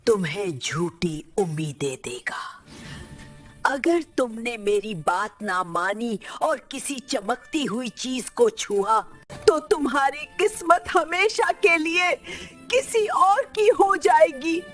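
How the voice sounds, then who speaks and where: A woman's voice: fairly high pitch, animated, takes it slowly. A woman voicing a fearful old grandmother in a storybook tale, speaking in a grave, urgent tone. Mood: warning. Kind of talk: storybook narration drama. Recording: soft music behind the voice.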